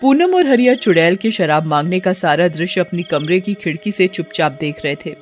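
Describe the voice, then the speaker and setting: A woman's voice: fairly low pitch, expressive, moderate pace, highs cut off. A woman giving scripted narration of a story as its narrator.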